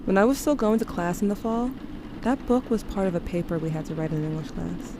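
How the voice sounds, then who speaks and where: A woman's voice: medium pitch, lively intonation, brisk, noisy background, close to an everyday voice. A woman playing a guilt-haunted teenage narrator in an audio drama, confessional, introspective and wry. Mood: wry, reflective. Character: guilt-haunted, guarded teenage narrator grieving a dying loved one.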